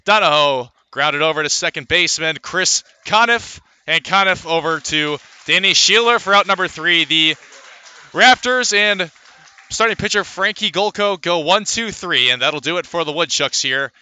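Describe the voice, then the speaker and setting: A man calling live baseball play-by-play as a radio announcer, filling the gaps between pitches with measured runs of stats. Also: bright, forceful tone, clean tone. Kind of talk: live sports play-by-play commentary.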